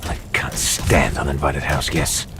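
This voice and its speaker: A man's voice: very deep voice, fairly even intonation, measured pace, heavy background noise. A man playing a grand, self-important but bumbling alien scientist father, narrating his epic with comic asides in a video game. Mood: weary, dramatic. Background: music.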